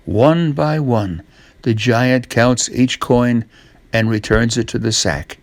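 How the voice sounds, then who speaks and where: A man narrating a scripted children's story, reading aloud in a measured, expressive way. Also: medium pitch, dry recording.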